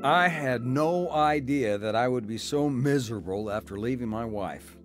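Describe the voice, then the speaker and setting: A man's voice: mid-range voice, expressive, normal speed, music in the background, close to an everyday voice. A man playing a disillusioned young husband and father in a radio drama, both narrating and talking in scenes. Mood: reflective, regretful. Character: disillusioned young husband and father recalling his troubled life. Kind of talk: radio drama acting with first-person narration.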